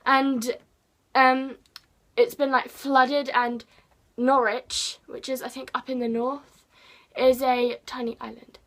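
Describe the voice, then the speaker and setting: Brief: English woman, book vlogger, bright high voice, rambly and self-mocking. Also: level tone, slow pace. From England. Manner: chatty, rambling, self-deprecating, playful. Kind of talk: casual chat to camera.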